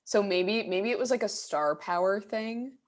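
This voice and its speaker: A woman's voice: medium pitch, unhurried. A young woman co-hosting a casual podcast chat, musing aloud and trailing off as she searches for words. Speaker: podcast co-host.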